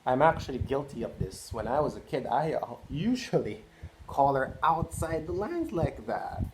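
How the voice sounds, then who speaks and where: A reader talking gently to children, reading a picture book aloud and asking them questions. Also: medium pitch, big pitch swings, normal speed. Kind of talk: read-aloud for kids. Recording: roomy echo with faint noise.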